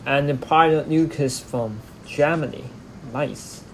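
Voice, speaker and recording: A man with a Hong Kong accent, a native Cantonese speaker, answering viewers' chat questions on a live stream in halting English, often repeating words as he searches for them. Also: fairly high pitch, a little hiss or hum. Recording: music playing clearly behind.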